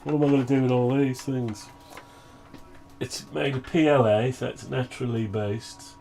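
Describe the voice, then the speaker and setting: Groggy man with gravelly voice. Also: medium pitch, fairly even intonation, moderate pace, mellow, a little hiss or hum. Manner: rambling, thinking aloud, frequent throat clearing. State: groggy, recovering from flu. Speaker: hobbyist maker showing his own software. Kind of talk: casual screen-share project update.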